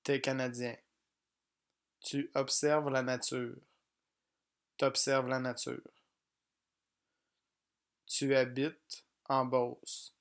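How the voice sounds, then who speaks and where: A man teaching pronunciation, reading each example sentence slowly and plainly, often twice. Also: mid-range voice, flat delivery, smooth. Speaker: French language teacher. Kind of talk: slow pronunciation drill.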